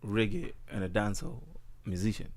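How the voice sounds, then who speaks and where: A man's voice: on the low side, steady tone, fairly quick. A man talking casually in an interview as a musician, laid-back, full of slang and fillers. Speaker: guest musician.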